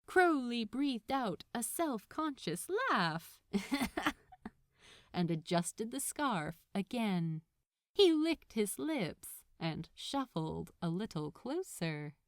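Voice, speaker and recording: A woman narrates fiction in a scripted, expressive style. Her pace is slow and her intonation lively, and the recording is close and dry with no room sound. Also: fairly high pitch, no echo.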